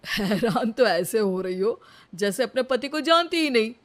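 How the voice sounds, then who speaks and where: A woman's voice: a little high, expressive, moderate pace, close to an everyday voice. A woman voicing a cocky, teasing young husband in a cartoon, devoted underneath, with a drawling delivery. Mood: smug, casual.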